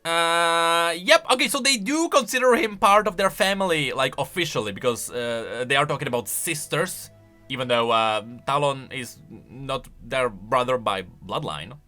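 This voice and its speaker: A man giving casual, emphatic commentary as a gaming YouTuber, excitable in his delivery. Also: medium pitch, normal speed, faint music behind. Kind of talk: casual lore commentary, reacting to voice lines.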